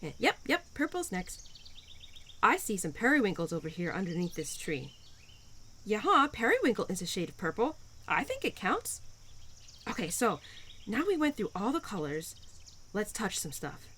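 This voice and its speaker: A woman plays a cheerful spirit of spring in an intimate audio roleplay. Her pitch swings in a lively way as she teases and encourages, getting flustered now and then. Insects and outdoor nature sounds are clearly heard behind her. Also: moderate pace, long pauses, slight background noise. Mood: playful, cheerful. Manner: playful, encouraging, with flustered hesitations. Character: cheerful, playful, pun-loving spirit of spring, Mother Nature's daughter.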